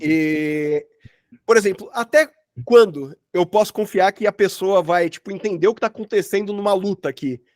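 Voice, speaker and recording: A man's voice: high-pitched, normal speed, no room sound. A Brazilian man teaching an informal online sound design workshop, explaining examples in a casual, rambling, slangy way. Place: at home, online session.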